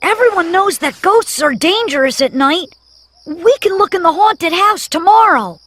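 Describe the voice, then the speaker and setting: A woman's voice: extremely high pitch, animated, moderate pace, a bit bright, dry recording. A woman playing an eager know-it-all boy. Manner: bossy, know-it-all, full of plans. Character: eager, confident young boy adventurer. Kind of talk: animated storybook acting.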